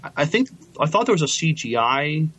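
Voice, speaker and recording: A man co-hosting a podcast, chatting and reading news casually in a hesitant, halting way. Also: fairly high pitch, sing-song, a bit bright, husky, muffled recording. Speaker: podcast co-host.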